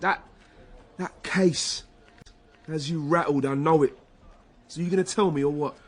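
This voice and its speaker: A man's voice: fairly high pitch, steady tone, measured pace. An actor playing a younger police detective in a radio drama, a man who is loyal, wry and persistent, with a probing manner. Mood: probing, concerned.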